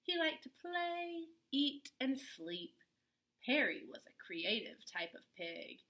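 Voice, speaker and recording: A woman reading a children's story aloud in an animated, deliberate way with dramatic emphasis. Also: high-pitched. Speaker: children's story reader, teacher-style host. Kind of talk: expressive storybook read-aloud for young children.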